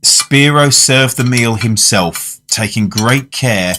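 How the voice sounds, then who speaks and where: An actor playing a polite, curious dinner guest who narrates a radio drama in a measured, thoughtful way. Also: male voice, mid-range voice, background noise, lightly acted. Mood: fascinated, reflective. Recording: music under the voice.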